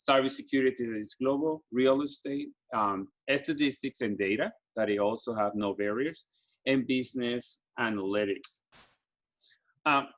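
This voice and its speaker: Earnest Ecuadorian-born man, halting delivery. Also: medium pitch. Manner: halting, frequent restarts and fillers, earnest. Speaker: business school program staff presenting study abroad. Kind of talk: presentation to students with slides.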